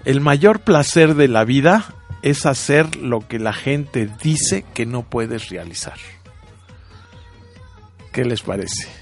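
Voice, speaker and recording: A man's voice: mid-range voice, expressive, slow pace, pauses often. Male radio host, Mexican accent, mid-range voice, informal and chatty, talking straight to listeners over faint music. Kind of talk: live radio show talk to listeners.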